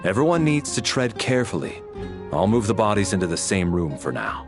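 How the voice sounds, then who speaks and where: Composed leader, male, lowish voice, formal, expressive intonation, game dialogue, music behind. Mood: firm. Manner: measured, formal. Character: calm, authoritative man taking charge. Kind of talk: video game voice acting.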